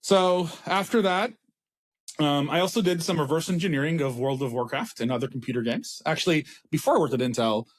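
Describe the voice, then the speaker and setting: A man's voice: a little high, a little fast. A man in his thirties with an Israeli accent, talking casually as a startup founder in an interview, rambling and self-deprecating with frequent restarts. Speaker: startup CEO guest.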